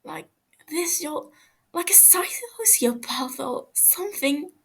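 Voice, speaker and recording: A woman voicing a frightened, wounded male captive who gasps and stammers through halting speech in a story reading. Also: sits high, animated, creaky, pitched above the performer's own voice, heavily acted, poor audio. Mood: gasping, appalled.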